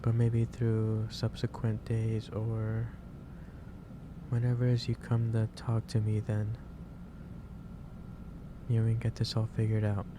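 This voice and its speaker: A man acting as a gentle office boss speaks low and in a near monotone. He is caring and reassuring, picking his words haltingly as in a soft ASMR roleplay. Clear background noise sits behind the voice. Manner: halting, reassuring, unhurried delivery. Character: gentle, caring male office boss lecturing an overworked employee. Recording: clearly noisy background ambience.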